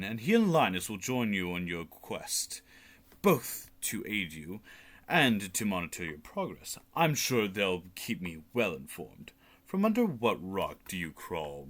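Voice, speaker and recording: A man's voice: low-pitched, sing-song, measured pace, a bit bright, full character voice. A young man playing a smug scheming king. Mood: condescending. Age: teens. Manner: smug, mocking and theatrical. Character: scheming treacherous middle-aged male king. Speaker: student actor in a radio play. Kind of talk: amateur radio play acting.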